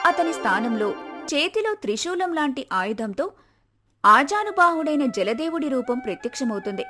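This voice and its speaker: Female storyteller, higher-pitched voice, scripted folktale narration with background music. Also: very animated, normal speed. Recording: music behind.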